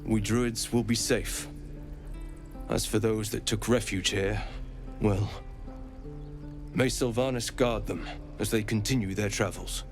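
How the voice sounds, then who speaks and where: A man's voice: lowish voice, fairly even intonation, a little slow, long pauses, music in the background, lightly acted. A man voicing a polite, regretful druid. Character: apologetic male druid of a grove.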